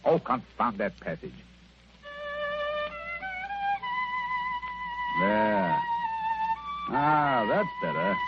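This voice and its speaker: An actor playing a shrewd, imperious British detective, teasing and brisk, in an old radio drama. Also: male voice, medium pitch. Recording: muffled, noisy old radio recording.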